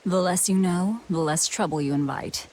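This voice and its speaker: A woman's voice: on the low side, normal speed, darkish tone, slight background noise, close to an everyday voice. An actress playing a cold, hardened young woman fighter in a game, blunt and terse. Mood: curt.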